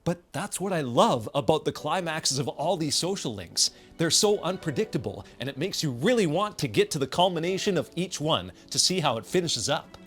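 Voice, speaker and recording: A man's voice: a little high, very animated, normal speed, hardly any pauses, fairly bright tone. A man reading a scripted video essay about a game, enthusiastic with casual, wry asides. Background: background music.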